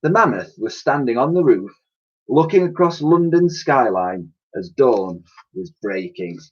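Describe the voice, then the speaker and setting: A man reading a children's storybook aloud in an expressive, dramatic way, with the odd stumble. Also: mid-range voice, measured pace, slightly rough. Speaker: primary school teacher reading aloud. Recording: dull lo-fi home recording.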